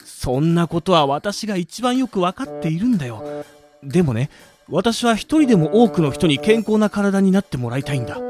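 A man's voice: sits high, big pitch swings, moderate pace, a little mellow, faint music behind. A man playing a pompous but easily cowed skeleton gym manager in a Japanese radio drama. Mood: indignant. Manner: lecturing, self-deprecating, apologetic. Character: skeleton gym manager, pompous yet meek when scolded. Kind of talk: Japanese audio drama acting.

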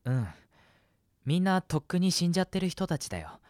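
A man voicing the gentle, cautious ghost of a sixteen-year-old boy in a radio drama, earnest and reassuring. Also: high-pitched, big pitch swings, brisk, no room sound, clean studio sound. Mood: gentle, explanatory. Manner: soft-spoken, earnest, reassuring.

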